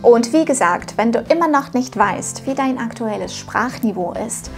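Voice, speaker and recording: A woman's voice: fairly high pitch, animated, normal speed, faint music behind. A woman in her thirties, not a native speaker, teaching a scripted video lesson to camera from a home studio in an upbeat, encouraging way. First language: not German. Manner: upbeat, encouraging, addresses viewer directly. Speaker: German teacher, channel host.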